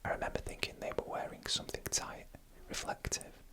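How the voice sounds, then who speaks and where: A narrator reading eerie stories for ASMR in a breathy, unvoiced whisper, hushed and deliberate, phrase by phrase.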